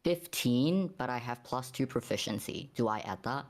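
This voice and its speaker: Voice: mid-range voice, expressive, moderate pace. Hesitant tabletop game player, casual chat.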